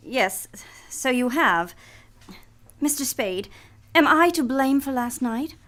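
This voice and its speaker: An actress playing a manipulative femme fatale in trouble in an old-time radio melodrama, with a theatrical, pleading delivery. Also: female voice, sits high, animated, slow, fairly bright tone, full character voice. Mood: coy.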